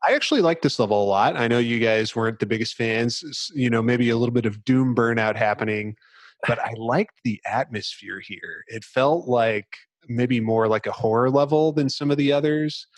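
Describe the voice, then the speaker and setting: Male podcast co-host, medium pitch, casual gamer banter, very dry sound. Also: moderate pace, dry recording.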